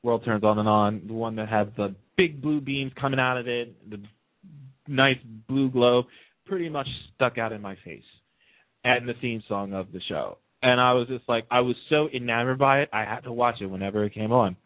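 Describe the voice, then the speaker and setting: A man's voice: medium pitch, moderate pace, no room sound. A man chatting casually as a guest in a radio interview, telling stories in a talkative way. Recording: narrow, tinny phone-line sound.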